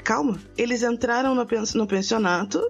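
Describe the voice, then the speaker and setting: A woman's voice: a little high, normal speed, vocal fry, breathy edge, lo-fi sound, faint music behind. A woman in her thirties hosting a podcast, telling a long story in casual chat with many restarts and asides. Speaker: podcast host.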